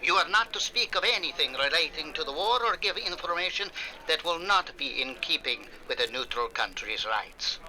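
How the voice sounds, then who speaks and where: An actor playing an officious wartime censor in a 1940s-style radio drama, formal and commanding. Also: male voice, on the high side, animated, normal speed, pushed and bright, a little hiss or hum, poor audio. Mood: stern.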